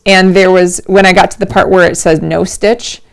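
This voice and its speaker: A middle-aged woman, a little hoarse, hosting a casual livestream from home, chatty and rambling with frequent laughs. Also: lowish voice, flat delivery, moderate pace. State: slightly hoarse. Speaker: host of a knitting livestream.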